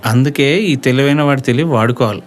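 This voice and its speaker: A man's voice: medium pitch, a little fast, close to an everyday voice. A man voicing a cocky, sarcastic young college friend in a comedy audio drama, full of schemes and slangy mockery, with music behind. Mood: cocky, scheming. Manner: mocking, slangy banter.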